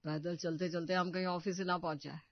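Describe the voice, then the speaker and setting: A woman's voice: fairly low pitch, little pitch movement, fairly quick, dry recording, natural voice. A woman voicing a tired young office worker in a cartoon, complaining as he walks. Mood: weary. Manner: complaining, weary. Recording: dull, muffled audio.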